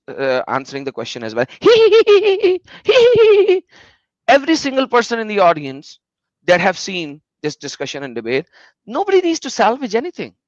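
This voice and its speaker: Combative high-voiced male debater. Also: dry recording, highs cut off. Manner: combative, indignant. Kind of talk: live online debate Q&A.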